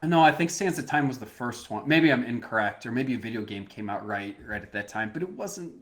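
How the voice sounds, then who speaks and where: A man's voice: mid-range voice, lively intonation, fairly quick. A man co-hosting a casual podcast who laughs easily and often stumbles and restarts his sentences. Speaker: podcast co-host.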